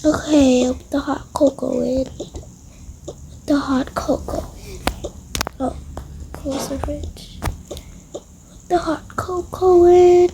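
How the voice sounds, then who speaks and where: Soft, high young female voice with noise. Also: fairly even intonation, takes it slowly, long pauses. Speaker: roleplay video creator. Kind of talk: improvised toy-game roleplay storytelling.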